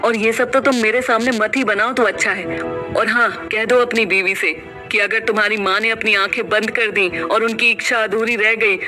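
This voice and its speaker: A grave, scolding aunt, acted by a woman in an animated horror story. She speaks sternly over background music. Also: on the high side, moderate pace, natural voice. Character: stern older aunt, harsh and reproachful.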